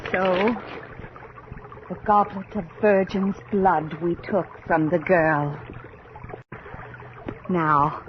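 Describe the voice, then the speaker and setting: An actress playing a solemn, eerie witch who gives deliberate warnings and incantations in a horror radio drama. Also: lowish voice, flat delivery. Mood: ominous. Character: solemn, eerie witch conducting a dangerous night-time séance. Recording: dull, noisy old radio drama tape.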